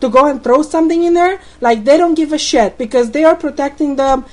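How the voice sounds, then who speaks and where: A woman's voice: high-pitched, a little fast. A woman with a Romanian accent, complaining indignantly on a phone call, rambling and repeating words as she goes.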